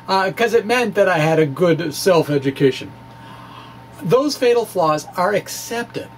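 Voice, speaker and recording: A man giving a dramatic explanatory reading as a presenter, halting and hesitant, with emphatic turns. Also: high voice, a little hiss or hum, low-quality recording. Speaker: amateur physicist presenting his blog. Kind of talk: dramatic reading of a physics blog, explanatory talk.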